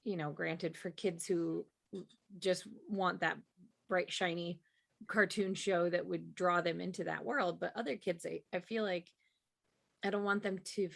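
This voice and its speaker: A woman's voice: fairly low pitch, fairly even intonation, measured pace, creaky. A woman co-hosting a podcast in casual, conversational chat. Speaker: podcast co-host.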